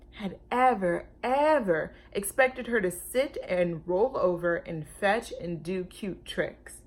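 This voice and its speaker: A woman reading a children's story aloud expressively, as a storytime host speaking to young listeners. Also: mid-range voice. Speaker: storytime reader.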